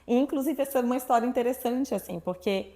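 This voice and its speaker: Woman, fairly high voice, very talkative, expressive storyteller, casual interview guest. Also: fast talker. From Salvador, Brazil. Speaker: guest, sexuality professional.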